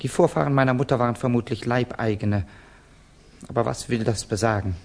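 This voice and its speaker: An actor playing a guarded, brooding Russian exile in a German radio play, speaking in a terse, grave, defensive way. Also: male voice, medium pitch, a little fast, lightly acted.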